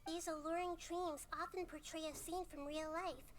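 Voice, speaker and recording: A woman voicing a small childlike goddess in a video game, soft and youthful, with a measured, polite and thoughtful manner. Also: extremely high pitch, steady tone, slight background noise. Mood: calm, analytical. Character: small childlike female deity, wise, gentle and composed. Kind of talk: video game dialogue acting.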